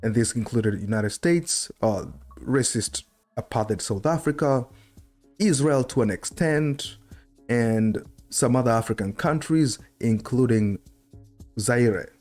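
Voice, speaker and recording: A man's voice: mid-range voice, slow, a little mellow, faint music behind. A middle-aged man giving informal historical commentary as a host, conversational and explanatory, speaking into a close desktop microphone indoors. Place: indoor room at a desk. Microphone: desktop microphone close to the mouth.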